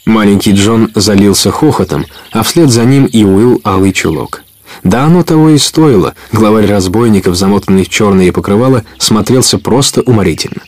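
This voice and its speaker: A man's voice: lowish voice, normal speed, darkish tone. A man narrating an adventure story in a scripted radio play, as a storyteller.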